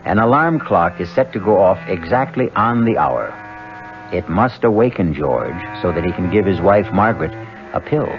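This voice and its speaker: An actor playing a grave, ominous narrator of a 1970s radio mystery play. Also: male voice, sits low, expressive, moderate pace. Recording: dull old radio recording, music.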